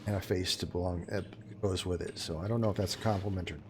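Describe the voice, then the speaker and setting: Low-voiced chatty male streamer. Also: fairly even intonation, fast talker, background noise. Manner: chatty, joking, talks to chat while playing. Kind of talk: casual live gameplay commentary.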